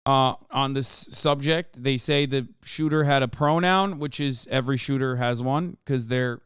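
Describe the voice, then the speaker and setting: A man's voice: mid-range voice, unhurried, fairly bright tone, clear, resonant tone, no echo, studio quality. A man whose first language is Turkish, hosting a casual live-stream news commentary with profane, sarcastic rants. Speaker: streamer host.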